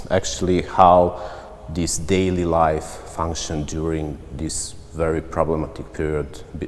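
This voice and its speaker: A man from central Serbia, an artist answering interview questions in a reflective, halting way with frequent restarts. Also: rumbling low. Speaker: visual artist. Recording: long reverb, faint background noise.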